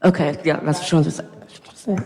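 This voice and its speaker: A woman's voice: low-pitched, fairly even intonation, normal speed, dark tone. A young adult woman presenting an event with informal announcements from the stage. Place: university recital hall. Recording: reverberant recital hall.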